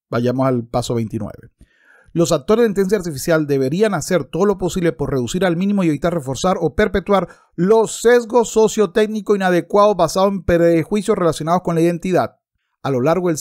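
Emphatic man with a higher-pitched voice. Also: a little mellow. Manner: emphatic, argumentative. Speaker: video essayist and commentator. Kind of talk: opinionated commentary with passages read aloud. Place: book-lined room at home, indoors. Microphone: desktop microphone close in front.

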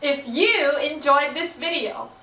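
Young woman, children's host, high pitch, animated, slow pace, roomy distant recording. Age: young adult. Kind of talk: presenting to camera for children. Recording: roomy, slightly distant microphone.

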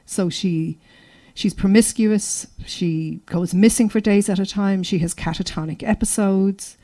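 A woman's voice: medium pitch, unhurried, mellow. A middle-aged Irish woman giving a conversational literary talk as an author. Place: indoor library room before a seated audience.

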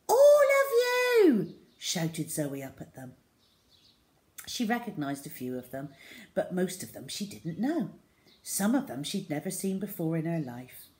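A woman's voice: on the low side, darkish tone. A middle-aged woman reading a children's story aloud expressively. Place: small room indoors at home.

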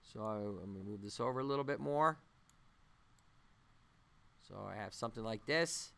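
Bright, clear-voiced man giving a tutorial. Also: on the low side, lively intonation. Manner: halting, thinking aloud as he works. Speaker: animation tutorial instructor. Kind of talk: unscripted software demonstration. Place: voice-over on a screen-recording tutorial.

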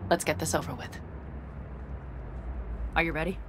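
A woman's voice: sits low, steady tone, normal speed, natural voice. A woman playing a headstrong, vengeful young nomad in a video game, speaking bluntly and insistently. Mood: warm, settled. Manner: blunt, insistent, foul-mouthed. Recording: loud vehicle noise and game ambience.

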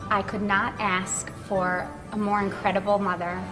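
A woman in her thirties, a stage actor, talking brightly about her parents in a sit-down interview. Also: medium pitch, level tone, moderate pace, in a large hall, music in the background, rough recording. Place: indoor theater lobby, posters behind.

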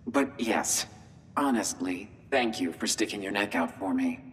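A low-pitched, sardonic man with theatrical self-importance in a video game. His lines ring with long reverb over a low rumbling noise. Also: animated, a little slow, fairly bright tone. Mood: sincere. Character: wry, self-important man facing transfer into a machine. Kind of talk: video game dialogue acting.

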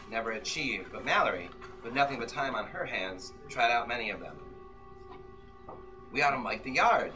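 A man in his thirties speaking as an author at an informal live reading in a small indoor shop. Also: mid-range voice. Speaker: author reading from own novel. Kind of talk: informal talk and dramatic novel reading. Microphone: far camera among the audience. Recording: distant camera mic, music playing. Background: music.

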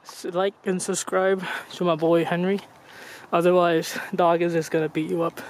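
A man's voice: sits high, level tone, normal speed, a little hiss or hum. A man joking around and teasing his friend who is filming, outdoors and in a car. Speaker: hiking friend.

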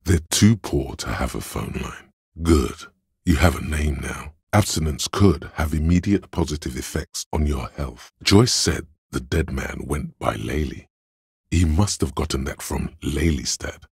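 An actor playing a cool-headed, analytical inner voice of logic in a video game, measured, deliberate and matter-of-fact, with a gravelly, husky bass. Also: male voice, expressive, darkish tone, no room sound, studio quality, exaggerated voice. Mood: analytical, composed. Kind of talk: scripted game narration as an inner voice.

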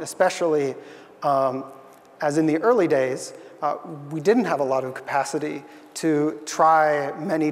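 A man's voice: a little high, long reverb tail. A young man giving an earnest, thoughtful conference talk on a stage, with frequent fillers. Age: young adult. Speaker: conference speaker. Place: stage in a large hall.

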